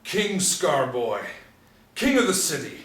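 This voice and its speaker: A man's voice: on the high side, big pitch swings, takes it slowly, fairly bright tone, full character voice. A man playing a cocky, wild young gang leader in a screenplay table read, boastful and taunting. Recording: echoey room, distant from mic.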